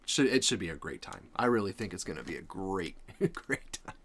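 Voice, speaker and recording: Casting: male vlog host, 30s, bright forceful tone, lively swings, jokey and self-deprecating. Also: sits low, brisk, slight background noise. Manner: playful, self-mocking, nervous jokiness. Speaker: reaction video host. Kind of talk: casual chat to viewers.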